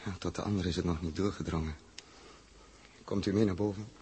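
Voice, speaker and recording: A man's voice: low voice, steady tone, fairly quick, lightly acted. A man with a Dutch accent acting a commanding but burdened spaceship captain in a 1960s radio drama. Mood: troubled. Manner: clipped orders, hesitant repetitions when troubled. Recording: noisy old 1960s radio recording.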